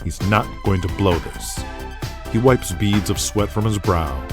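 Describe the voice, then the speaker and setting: Low-voiced man narrating a drama over music. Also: lively intonation, unhurried. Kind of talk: dramatic scripted narration in present tense.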